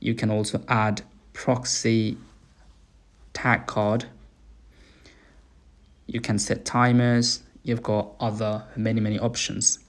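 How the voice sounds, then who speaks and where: Slow, even-toned man giving a walkthrough. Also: on the low side. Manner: deliberate, halting phrasing in short segments. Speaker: gate installer presenting his work. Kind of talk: product walkthrough narration.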